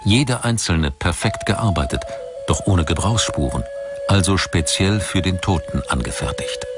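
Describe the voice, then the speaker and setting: A man delivering scripted documentary narration in a measured, formal storytelling manner. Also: deep voice, wildly swooping pitch, heavy background noise. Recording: music bed under voice. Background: background music.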